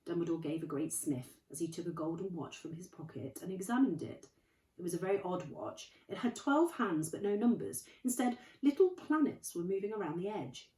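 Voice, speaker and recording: Female teacher reading a storybook aloud, lower voice with lively pitch, roomy and distant. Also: fairly quick. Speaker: primary school teacher. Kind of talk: reading a children's novel aloud. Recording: echoey room, distant mic.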